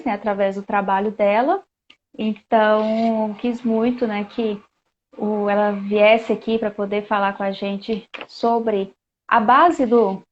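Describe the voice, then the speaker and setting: A Brazilian woman hosting a live online chat, talking casually with plenty of fillers. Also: medium pitch. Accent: Brazilian Portuguese. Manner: chatty, reads viewer comments aloud, many fillers. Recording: dull lo-fi live-stream audio.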